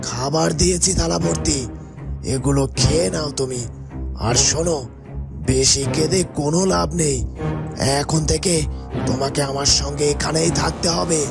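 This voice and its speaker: A man's voice: a little high, steady tone, normal speed, creaky. A man playing a menacing kidnapper in a cartoon fairytale, cold and commanding. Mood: smug, threatening. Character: menacing villain forcing a captive girl into marriage. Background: music.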